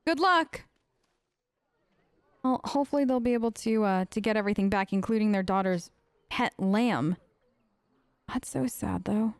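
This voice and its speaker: A woman's voice: mid-range voice, moderate pace, long pauses, dry recording. A woman hosting a role-play game let's play, talking casually in a sassy, rambling way. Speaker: gaming host, role-playing her character.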